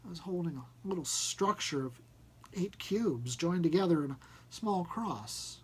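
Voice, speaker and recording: A man narrating a first-person short story, reading in an expressive, measured way. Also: a little high, a little hiss or hum. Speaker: audiobook narrator.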